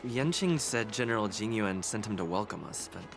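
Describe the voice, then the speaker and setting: A man's voice: on the low side, lively intonation, a little fast, gravelly. A man playing a wary, dry-humoured young hero in an anime-style game. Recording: game music behind.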